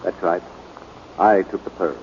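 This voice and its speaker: An actor playing a meek, anxious older American man with a hesitant, halting manner, in 1940s radio drama style. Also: sits low, a little mellow. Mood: hesitant, confessing. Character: meek, anxious older stepfather making a false confession. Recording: muffled, noisy 1940s radio recording.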